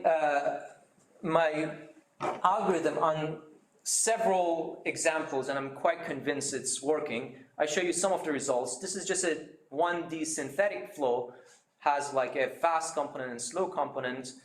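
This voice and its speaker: A man giving a seminar talk, explaining his method step by step with many pauses and fillers. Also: mid-range voice, roomy sound, poor audio. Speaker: seminar speaker.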